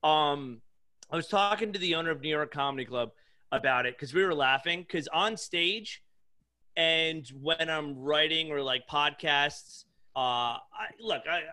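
A man's voice: on the high side, sharp tone. A man in his thirties, a stand-up comedian chatting casually on a podcast with profane, informal speech full of "dude" and "like". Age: 30s.

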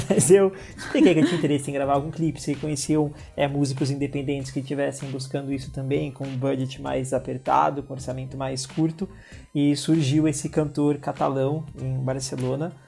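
A man's voice: fairly high pitch, vocal fry, dark tone. A man with a Brazilian Portuguese accent, a filmmaker guest chatting casually about his work, with hesitant restarts and frequent laughs. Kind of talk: casual interview talk.

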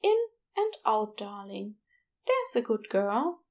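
A woman voicing a gentle, doting man who soothes a child with coaxing endearments in an audiobook-style story. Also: medium pitch, big pitch swings, slow pace. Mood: soothing, cheerful. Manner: soft endearments, coaxing, reciting.

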